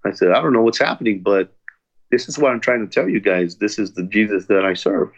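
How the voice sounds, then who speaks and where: A man's voice: fairly low pitch, a little fast, highs cut off. A man telling his own story of prison in an earnest, plain way, with restarts. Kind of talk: personal testimony interview.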